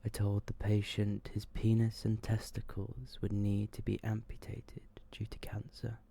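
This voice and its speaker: Male ASMR horror narrator. Dark, mellow, breathy and hushed, very slow and subdued, edging into whisper. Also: lowish voice, completely flat delivery. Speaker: ASMR storyteller. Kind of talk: ASMR horror story reading.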